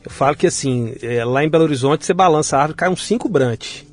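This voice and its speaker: A man in his forties with a Minas Gerais accent, a guest in an interview, talking in a relaxed way with frequent restarts. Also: on the high side, quick pace, soft background music. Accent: Minas Gerais Brazilian Portuguese. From Minas Gerais, Brazil. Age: 40s. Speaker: guest, audio producer. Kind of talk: conversational interview.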